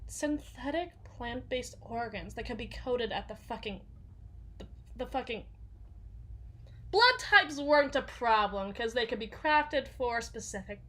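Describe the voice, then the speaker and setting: Woman playing a slow-talking, sardonic female villain bodyguard. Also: on the high side, bright, forceful tone, rough recording. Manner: sweary, sardonic, halting, confiding. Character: gruff, foul-mouthed female villain's bodyguard, sardonic and haunted. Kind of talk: ASMR audio roleplay, intimate dramatic monologue.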